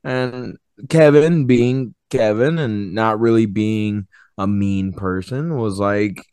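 A man hosting a podcast, chatting casually about movies and swearing now and then, his voice hoarse and partly gone. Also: lowish voice, slow, a little mellow, no echo. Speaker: podcast host. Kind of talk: casual movie review chat.

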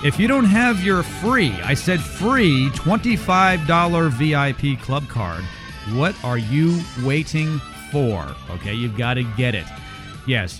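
A man hosting a radio show, talking in an upbeat, salesy, emphatic way in a studio. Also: mid-range voice. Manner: salesy, emphatic, repeats phone numbers. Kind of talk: upbeat radio talk and read ads.

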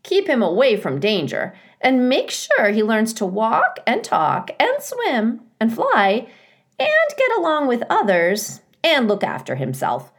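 A woman's voice: fairly high pitch, huge pitch swings, measured pace, voice pushed up, heavily acted. A woman voicing a blunt, fussy, motherly female goose in a children's storybook, with squawking, emphatic, opinionated delivery. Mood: breezy, instructive. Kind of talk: children's storybook character reading.

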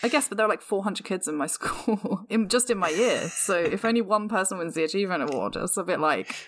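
A woman in her thirties with a slightly croaky voice, co-hosting a podcast in casual, chatty conversation and laughing often. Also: mid-range voice, lively intonation, fairly quick, a little hiss or hum. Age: 30s. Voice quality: croaky, slightly sore throat. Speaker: podcast co-host. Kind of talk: casual chat.

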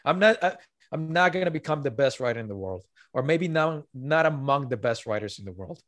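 A man's voice: medium pitch, lively intonation, brisk, a bit bright, dry recording. A man who is not a native English speaker, talking earnestly as an author guest on a podcast and repeating his key points. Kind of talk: podcast interview.

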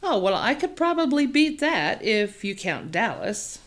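A woman's voice: medium pitch, very animated, measured pace, fairly bright tone. An actress playing a friendly young female college student from Texas with a Texan accent, talking in a chatty, hesitant way.

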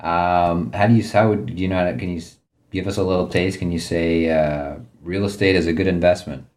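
A man hosting a podcast, asking friendly, halting questions. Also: deep voice, fairly even intonation, darkish tone. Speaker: podcast host. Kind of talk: podcast interview.

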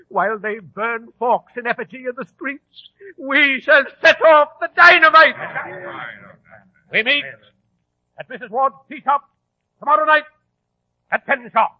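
An actor playing a menacing, taunting leader of a bomb plot, in a theatrical old-time radio style. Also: male voice, very high-pitched, lively intonation, measured pace, no echo, full character voice. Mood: fanatical. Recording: muffled, tinny 1940s radio recording.

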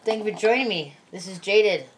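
A woman in her forties hosting a casual podcast, chatty and quick to laugh, with a slightly irritated edge. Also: mid-range voice, heavy background noise. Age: 40s. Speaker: podcast host.

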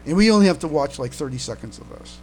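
A man with a fairly high voice talks casually as a podcast co-host. He goes haltingly, doubling back on his words. There is faint noise and hum behind him. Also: rough recording. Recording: steady background noise and hum.

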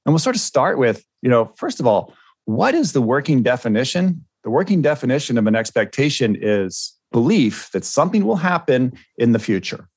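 A man's voice: medium pitch, sing-song, a little fast, slightly rough, no room sound. Man co-host, mid-range voice, reflective and explanatory, dry close recording. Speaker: podcast co-host and ADHD coach. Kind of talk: reflective podcast talk.

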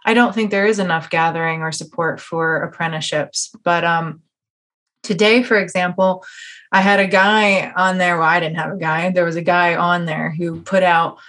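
A woman's voice: on the low side, steady tone, normal speed, a bit bright. Emphatic woman hosting a casual podcast. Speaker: podcast host and interviewer. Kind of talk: casual conversational interview.

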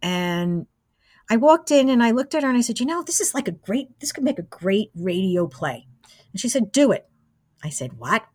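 A woman's voice: on the high side, sing-song, normal speed, a little mellow, no room sound, studio quality. A woman in her sixties reminiscing casually as an interview guest. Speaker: interviewee, radio station alumna.

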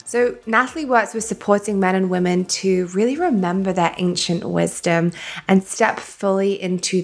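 A British woman hosting a podcast in an effusive, gushing manner, a little sniffly from a cold. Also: fairly low pitch. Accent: British English. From England. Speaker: podcast host. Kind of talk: casual interview chat.

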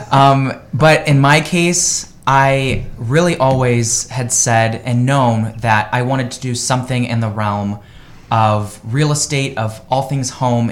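A man's voice: medium pitch, slow. A man from the United States, a real estate agent guesting on a live radio interview, talking in an upbeat, enthusiastic way.

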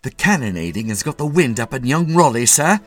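Man acting an urgent, high-pitched army sergeant. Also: very animated, brisk, soft background music, natural voice. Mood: anxious. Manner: urgent, emphatic, respectful. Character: anxious, deferential male army sergeant in wartime.

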